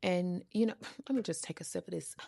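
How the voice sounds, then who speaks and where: Sassy woman storyteller with a creaky voice. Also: lowish voice, fairly quick, dry recording. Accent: American. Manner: animated, sassy, emphatic, slangy. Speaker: storytime show host. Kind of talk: casual storytelling, acting out quoted conversations.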